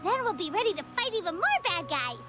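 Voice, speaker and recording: An actress voicing a tiny, excitable floating girl companion who talks about herself by name, in a video game. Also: fast talker. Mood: eager. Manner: squeaky, bouncy, refers to herself by name. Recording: game audio with loud music.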